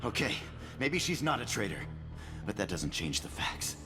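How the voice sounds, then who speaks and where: An actor playing an irritable, defensive medical technician in a video game, argumentative and self-preserving. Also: male voice, lowish voice, very animated, moderate pace, long reverb tail, over music. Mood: defensive, agitated. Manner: testy, argumentative. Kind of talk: dramatic video game dialogue.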